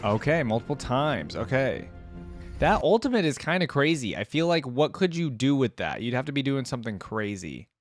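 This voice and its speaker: A man hosting a reaction video with casual, informal commentary, thinking out loud as he goes. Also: mid-range voice, big pitch swings, normal speed. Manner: informal, musing aloud. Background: music.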